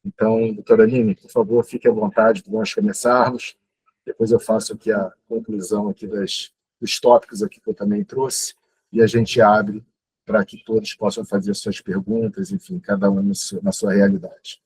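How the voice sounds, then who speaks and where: Low, husky, creaky Brazilian man. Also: normal speed, a little mellow, rough recording. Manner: courteous, deferential. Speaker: host introducing the guest. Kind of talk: informal spoken welcome.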